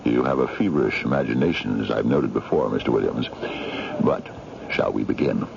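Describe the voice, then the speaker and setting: An actor playing a courtly, menacing old chess hustler in a 1970s radio drama, speaking formally and deliberately with a gravelly voice. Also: male voice, extremely low pitch. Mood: mocking, suspicious. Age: older. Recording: noisy, dull vintage radio broadcast.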